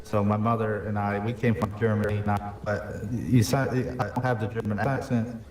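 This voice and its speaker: A man's voice: fairly low pitch, little pitch movement, moderate pace, darkish tone, echoey room, distant mic, faint music behind, natural voice, poor audio. A man improvising a young male mafia hitman with a New York accent, rambling and hesitant as he tells his backstory over voice chat. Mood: boastful. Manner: rambling, hesitant, full of "you know". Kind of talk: improvised character in a game voice chat.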